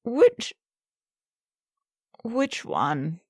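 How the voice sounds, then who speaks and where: A woman's voice: a little high, wildly swooping pitch, extremely slow, dry recording, studio quality, full character voice. A woman playing a hard, devout middle-aged prioress, stern and weary, firm and forthright in a dramatized fantasy audiobook. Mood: grieving.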